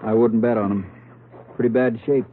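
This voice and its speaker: A man playing a brisk, blunt police doctor in a 1940s radio drama. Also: mid-range voice, steady tone, slight background noise, close to an everyday voice. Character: brisk, blunt male doctor at crime scene. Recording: tinny old radio recording with music.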